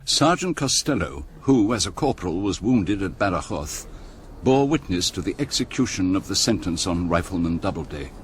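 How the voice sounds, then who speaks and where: A solemn narrator tells military history in a radio play. The voice is very deep with animated intonation and formal phrasing, over faint noise. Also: male voice, moderate pace. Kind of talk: scripted radio drama narration.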